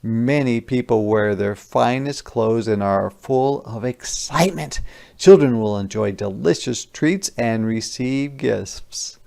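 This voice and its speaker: A man teacher reading a children's picture book aloud, adding simple, deliberate explanations for young listeners. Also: mid-range voice, gravelly, mellow. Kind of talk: interactive children's read-aloud with explanatory asides.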